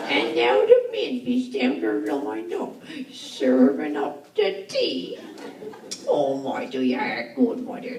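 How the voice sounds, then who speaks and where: A woman's voice: sits high, exaggerated voice. A woman playing a gossipy older churchgoing lady who chats confidingly to God in a folksy dialect, giggling as she goes. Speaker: comedian. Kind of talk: stand-up character monologue. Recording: echoey live stage from far off. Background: audience laughter and noise.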